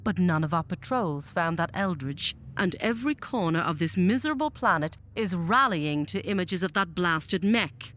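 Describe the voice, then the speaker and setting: A woman's voice: lowish voice, lively intonation, moderate pace, hardly any pauses, a bit bright, faint music behind. A woman playing a cold, impatient military general in an audio drama, clipped, disdainful and commanding. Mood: contemptuous.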